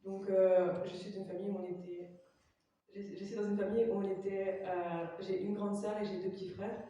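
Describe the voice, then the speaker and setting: A woman's voice: medium pitch, level tone, moderate pace, warm tone, distant mic, lo-fi sound, slight background noise. Hesitant Belgian woman in echoey chapel. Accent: Belgian French. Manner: hesitant, many fillers. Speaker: testimony giver. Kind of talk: personal testimony in church, interpreted.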